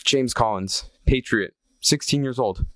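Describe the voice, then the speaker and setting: A man's voice: mid-range voice, unhurried, a bit bright, no room sound. A man reading a scripted first-hand historical account aloud.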